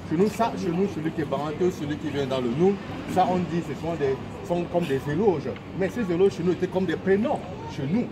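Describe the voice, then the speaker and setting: A man's voice: on the high side, lively intonation, brisk, few pauses. Cameroonian guy preaching at a protest, asks lots of questions, street noise blasting. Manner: earnest, questioning, slogan-like. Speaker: protest speaker. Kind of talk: impassioned street speech. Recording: loud street noise outdoors.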